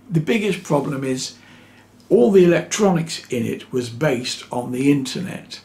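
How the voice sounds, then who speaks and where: Man, seventies, unhurried and crackly-voiced hobbyist explainer, dry and wry, unscripted. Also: medium pitch, gravelly. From New Zealand (lives there). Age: 70s. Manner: wry, dryly sarcastic, halting. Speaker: electronics hobbyist video host. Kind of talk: unscripted explanatory talk to camera. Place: small home electronics workroom, indoors.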